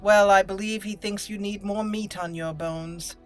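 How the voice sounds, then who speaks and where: A woman's voice: medium pitch, fairly even intonation, normal speed, faint music behind. A woman hosting a roleplay let's play, switching between casual commentary and reading character dialogue aloud.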